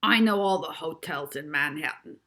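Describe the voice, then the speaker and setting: A woman voicing a boastful, world-wary male New York cab driver with a deep, husky voice in a storybook reading. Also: normal speed, fairly bright tone. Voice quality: husky, deeper.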